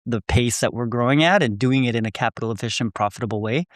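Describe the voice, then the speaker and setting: A man's voice: mid-range voice, dry recording, clean studio sound. A young man, a startup founder raised in Canada after a childhood in Korea, talking casually in a podcast interview, with frequent fillers and laughs. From South Korea, raised in Canada. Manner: quick, conversational, frequent "like" and laughs.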